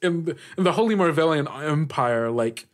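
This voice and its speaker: A man narrating a tabletop roleplaying game as game master, in a halting, conversational way. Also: a little high, animated. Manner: halting, conversational, often saying "like".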